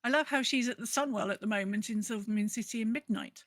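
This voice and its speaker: A woman's voice: fairly high pitch, quick pace, no echo. A woman co-hosting a podcast in a casual, playful, chatty way, sometimes reading out messages. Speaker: podcast co-host. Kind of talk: casual chat.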